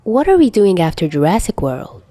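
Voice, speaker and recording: A cheeky, easygoing teenage boy in an audiobook, played by a woman. The voice is soft and mellow with playful, informal phrasing, recorded close and dry. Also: medium pitch, big pitch swings, normal speed, no echo. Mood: casual.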